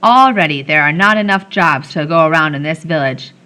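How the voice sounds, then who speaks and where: A woman's voice: low-pitched, brisk, sharp tone. A woman voicing a bossy, scheming young boy who snaps orders at the other children. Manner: bossy, cocksure, snapping.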